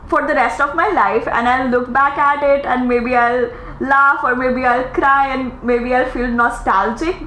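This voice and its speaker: A woman in her thirties hosting a podcast, talking through her thoughts in a casual, earnest monologue and often slipping into animated quoted asides. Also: on the high side. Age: 30s. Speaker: podcast host. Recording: echoey room with faint noise.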